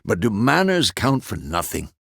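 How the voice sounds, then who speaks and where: Old fantasy game mentor, gruff, fatherly, weary, steadfast, deep-leaning gravelly voice, terse and authoritative, dry studio-close sound. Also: very animated, normal speed, a bit bright, dry recording, full character voice. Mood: wry, grumbling. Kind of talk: fantasy video game character dialogue.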